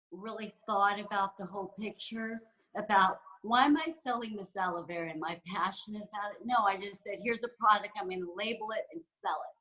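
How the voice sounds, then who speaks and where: A woman in her forties from San Francisco speaks as a guest in a conversational interview. Her voice is bright and forward. She talks candidly and reflectively, with frequent ums and pauses. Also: mid-range voice, rough recording. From San Francisco, USA. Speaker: guest executive and entrepreneur.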